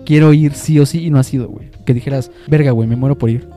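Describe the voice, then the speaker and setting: Fast-talking, slangy Mexican young man. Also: medium pitch, steady tone, dark tone, soft background music. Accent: Mexican Spanish. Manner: slangy, swears freely, peppers speech with filler words. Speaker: podcast co-host. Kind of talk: casual chat.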